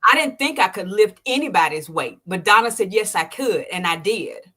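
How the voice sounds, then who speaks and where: A woman in her sixties tells her story in an animated way, with a mid-range voice. She acts out what others said with sudden jumps in pitch and loudness, then drops soft and low at tender moments. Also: normal speed. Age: 60s. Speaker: podcast host. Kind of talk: emotional interview testimony.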